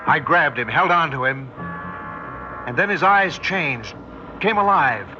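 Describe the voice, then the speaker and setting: An actor playing a shaken, bewildered man recounting a horror in tense, halting phrases, in a 1960s radio drama. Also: a little high, expressive. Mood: grave suspense. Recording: muffled vintage radio broadcast, music behind.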